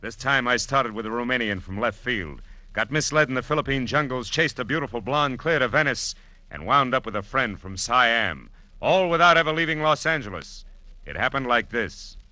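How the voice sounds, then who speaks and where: A man's voice: mid-range voice, lively intonation, moderate pace, sharp tone, slight background noise. A man playing a wry, world-weary American private detective in clipped 1940s radio noir style, on a vintage broadcast. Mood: hard-boiled, grim. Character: wry, hard-boiled Los Angeles private detective, an adult man. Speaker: lead actor and narrator.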